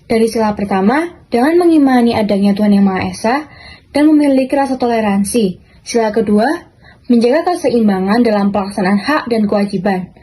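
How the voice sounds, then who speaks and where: A woman's voice: a little high, expressive, brisk, mellow. A teenage young woman, reading scripted educational content as a student podcast presenter. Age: teens, high school student.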